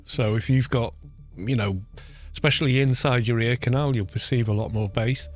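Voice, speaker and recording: A man with a baritone voice, chatting casually on a gaming live stream and muttering to himself as he plays. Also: moderate pace. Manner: relaxed, muttering to himself between remarks. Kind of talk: casual live-stream commentary while playing. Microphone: studio microphone with low cut. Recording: game music playing behind the voice. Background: game music.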